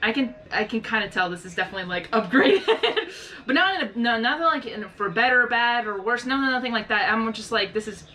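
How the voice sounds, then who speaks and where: A woman's voice: on the high side, animated, fairly quick, pushed and bright. A woman hosting a live reaction video, chatting in a rambling way and often searching for the right words. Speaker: reaction channel host. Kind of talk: casual live reaction commentary.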